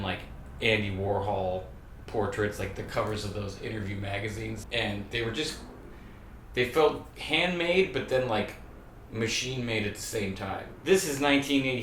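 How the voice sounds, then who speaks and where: A middle-aged man hosting a show and talking casually to camera about skateboard graphics, relaxed and rambling, with many "like" fillers. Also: lowish voice, recorded from a distance. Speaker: host breaking down skateboard graphics. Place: small room indoors. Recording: roomy sound, faint room hum.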